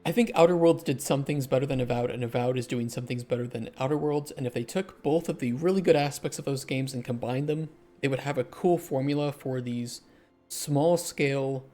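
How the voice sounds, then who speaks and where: A man who develops indie games, chatting casually on a livestream and thinking aloud as he answers chat, his voice hoarse after hours of streaming. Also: mid-range voice, fairly quick, warm tone, faint music behind.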